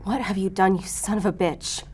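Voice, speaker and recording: A woman's voice: mid-range voice, fairly even intonation, normal speed, a little mellow, slight background noise, close to an everyday voice. A woman acting in audio fiction as a driven, blunt investigator who thinks aloud intensely. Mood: angry, frustrated.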